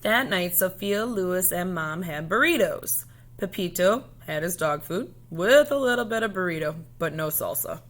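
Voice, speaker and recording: A woman reading a children's storybook aloud in a deliberate, expressive way. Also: on the low side.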